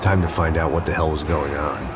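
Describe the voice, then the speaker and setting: An actor playing a cynical, world-weary middle-aged American ex-cop, narrating a video game in a deadpan, hard-boiled noir manner. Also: male voice, a bass voice, fairly quick, noisy background. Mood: grim, sardonic. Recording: loud music behind the voice. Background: loud game soundtrack music.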